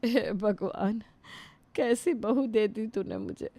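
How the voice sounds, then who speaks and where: A woman's voice: mid-range voice, huge pitch swings, takes it slowly, gravelly, dark tone, no room sound, full character voice. A woman playing an elderly, put-upon mother-in-law in a family drama, complaining and lamenting to God. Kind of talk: Hindi family drama acting.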